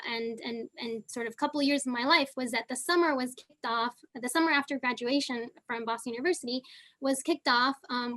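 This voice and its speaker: High-voiced young woman from Argentina. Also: fairly bright tone. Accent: Southern California. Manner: casual, many fillers. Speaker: panelist, geochemist. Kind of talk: online panel talk.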